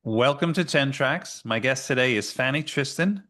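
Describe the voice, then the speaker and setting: A man hosting a podcast, introducing his guest and asking plain, measured questions. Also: mid-range voice, animated, bright, forceful tone. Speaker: podcast host.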